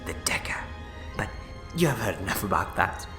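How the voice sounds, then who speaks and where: A man playing a scheming, bitter renegade Time Lord in a sci-fi audio drama, speaking in theatrical, condescending, deliberate speeches. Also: low voice, big pitch swings, reverberant, over music. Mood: condescending, smug.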